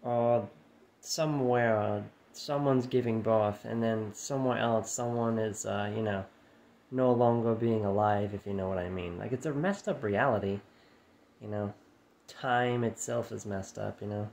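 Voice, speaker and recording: A man musing aloud in a loose, rambling monologue, swearing casually and tagging lines with "you know", his voice going hoarse. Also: fairly low pitch, a little slow, darkish tone. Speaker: channel host. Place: his room, indoors. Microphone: phone set down nearby. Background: heater hum.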